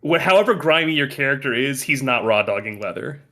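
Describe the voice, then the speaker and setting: A man's voice: mid-range voice, lively intonation, a little fast, pushed and bright. A man chatting casually and jokingly as a player in a tabletop role-playing game.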